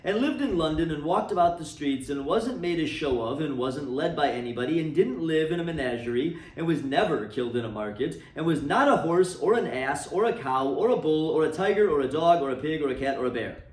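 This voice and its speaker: A man giving an expressive, dramatic storybook reading of a classic tale for a library, recorded in a roomy space from a distance. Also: a little high, brisk, few pauses. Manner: expressive, swooping phrasing. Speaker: reader for a library.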